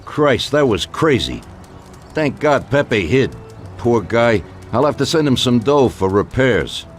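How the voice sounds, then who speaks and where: An actor playing an older American mafia boss, genial but ruthless, talking in colloquial gangster style in a game cutscene. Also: male voice, medium pitch, sing-song, takes it slowly, faint music behind. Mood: relieved. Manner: conversational, colloquial gangster talk. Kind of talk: video game cutscene acting.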